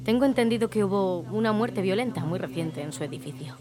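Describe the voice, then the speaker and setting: A woman's voice: mid-range voice, lively intonation, brisk, natural voice. A woman playing a nurse who is secretly a witch in a horror audio drama. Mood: gossipy. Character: sweet-voiced nurse who is secretly a cruel, menacing witch. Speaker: voice actor in audio fiction.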